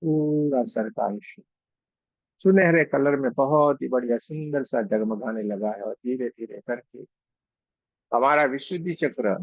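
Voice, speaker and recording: A man leading a guided meditation as a reiki guide, speaking slowly and soothingly with pauses and repeated phrases. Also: mid-range voice, no room sound, muffled recording. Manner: slow, soothing, repetitive instruction with frequent pauses.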